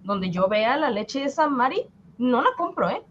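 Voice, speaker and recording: A woman's voice: sits high, sing-song, moderate pace, a bit bright, lo-fi sound, slight background noise. A woman playing a stubborn working-class housewife, emphatic and colloquial in a radio drama. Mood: indignant.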